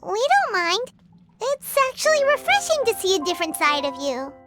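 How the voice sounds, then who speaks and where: A woman voicing a tiny, chirpy and blunt female companion in a video game, with a high, bouncy voice. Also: sing-song, normal speed. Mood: earnest. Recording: game audio with soft music underneath.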